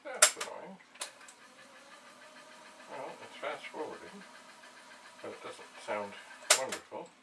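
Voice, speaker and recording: A man's voice: mid-range voice, very animated, takes it slowly, pauses often. A man giving a casual hands-on tech demonstration, thinking aloud and muttering to himself in a wry way. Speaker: host of retro computer video series. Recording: distant mic, clearly noisy, clicks.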